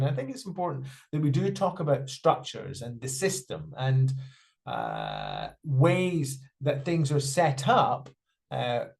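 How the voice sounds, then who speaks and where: A man giving a spoken interpretation of a panel discussion over a video call. He speaks in a halting way, with frequent fillers and restarts. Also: a little high, creaky, a little mellow. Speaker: sign language interpreter voicing deaf panellists.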